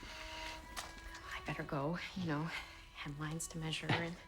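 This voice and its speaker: A woman's voice: deep voice, big pitch swings, slow, over music, heavily acted. An actress playing an earnest, prim young 1920s policewoman who speaks formally. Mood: bashful. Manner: earnest, flustered, formal wording.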